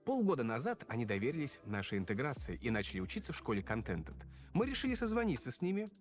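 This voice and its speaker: Man narrator, animated scripted read over faint music. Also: mid-range voice, fast talker.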